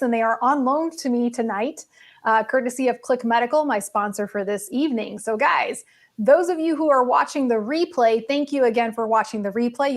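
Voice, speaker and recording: A woman with a fairly high voice hosts a livestream. She talks in a chatty, informal way and addresses her viewers directly as a show host would. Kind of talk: casual livestream chat with viewers.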